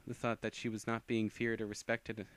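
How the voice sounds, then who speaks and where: A man's voice: fairly low pitch, completely flat delivery, normal speed, a bit bright, no echo. A man narrating fan fiction as an amateur reader, often stumbling and rereading phrases.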